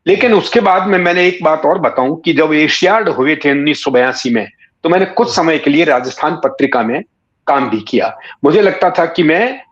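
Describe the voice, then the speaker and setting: A man, recalling his life in a measured, courteous, conversational way, often pausing mid-sentence. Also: on the high side, expressive. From Rajasthan, India. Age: 60s. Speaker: interview guest, writer and former cricket commentator. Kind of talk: reflective conversational storytelling. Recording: echoey room, slightly dull sound.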